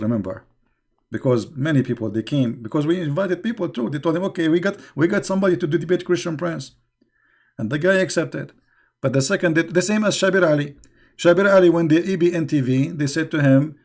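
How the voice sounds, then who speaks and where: A man with a fairly high voice whose first language is Arabic hosts a casual livestream, telling stories to his chat. He talks in quick, mocking bursts, jumps between retold quotes and asides, and leans on fillers and restarts in his non-native English. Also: darkish tone. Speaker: livestream host. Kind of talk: casual storytelling to a live chat.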